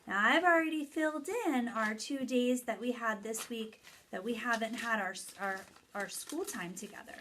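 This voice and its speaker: A woman with a fairly high voice speaks as an early childhood teacher. She talks directly to small children in simple phrases, walking through activities step by step and stressing the key words. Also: normal speed, few pauses, a bit bright, a little hiss or hum. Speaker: early childhood teacher hosting story time. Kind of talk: children's lesson, read-aloud and activity instructions.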